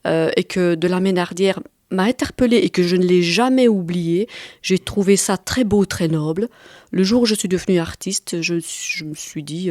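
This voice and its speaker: Low-pitched Belgian woman in her forties. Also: darkish tone, no room sound, studio quality. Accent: Belgian French. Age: 40s. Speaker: guest author and artist. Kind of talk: conversational interview answers.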